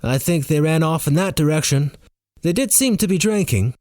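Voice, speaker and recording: A man's voice: fairly high pitch, expressive, normal speed, a little mellow, dry recording, clean studio sound, voice pushed up, close to an everyday voice. A man playing a fellow who bluffs politely in a muddled, fake mix of foreign accents, in audiobook dialogue. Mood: polite bluffing. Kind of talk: first-person audiobook dialogue.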